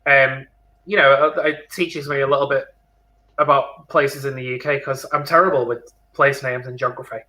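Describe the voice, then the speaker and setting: Bright, bubbly male drag guest. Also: medium pitch, fairly even intonation, normal speed. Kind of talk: casual livestream chat.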